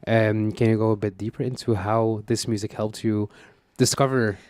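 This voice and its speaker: A man hosting a radio show, interviewing guests in a relaxed way with frequent affirming murmurs. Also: lowish voice, normal speed, dry recording. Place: radio studio.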